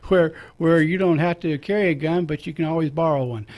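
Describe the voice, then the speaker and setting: A man's voice: fairly high pitch, a little fast, a little mellow. A man, a priest, hosting a radio talk show in a casual, jokey way, chuckling between remarks.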